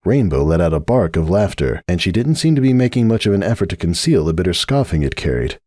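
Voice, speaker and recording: A man narrating a scripted story in an expressive, measured storytelling manner. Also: sits low, dry recording, studio quality.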